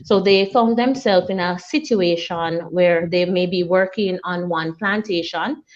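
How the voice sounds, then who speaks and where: A woman lecturer explaining things in an interview, measured and unhurried, with some fillers. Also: lowish voice, dull audio. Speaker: linguistics lecturer, guest.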